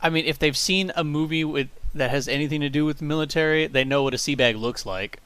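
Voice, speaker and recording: A man's voice: a little high, fast talker, fairly bright tone, clean tone, no room sound. A man chatting casually and bluntly, with plenty of swearing. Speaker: amateur audio drama editor. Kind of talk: casual chat.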